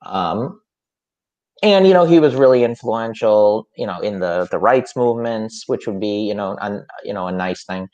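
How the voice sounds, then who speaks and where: A man's voice: fairly low pitch, animated, moderate pace, a little mellow, dull audio. A man who teaches music, chatting casually on a podcast with a slightly nasal voice and a hesitant, rambling way of talking full of fillers and restarts.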